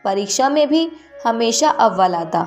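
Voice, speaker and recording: High-voiced woman narrating children's story. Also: animated, unhurried, over music.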